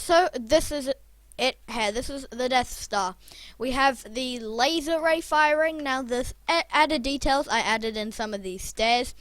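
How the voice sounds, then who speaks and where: A young gaming vlogger talks with a croaky voice that sits a little high. The talk rambles and chats along, self-deprecating and loose. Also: a bit bright, dry recording. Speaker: Minecraft YouTuber. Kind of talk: casual gameplay commentary.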